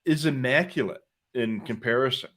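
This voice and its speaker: A man with a middle-pitched voice chats as co-host of a live hobby show. He is casual and opinionated, adding asides as he explains. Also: normal speed, fairly bright tone. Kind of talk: casual live-stream chat.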